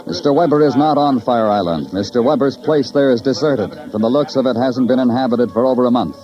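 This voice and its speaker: An actor playing a world-weary, sardonic police detective in a 1950s radio crime drama, with terse, poetic narration. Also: male voice, medium pitch, fairly quick, dark tone, close to an everyday voice. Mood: grim, terse. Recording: dull old radio recording, music behind.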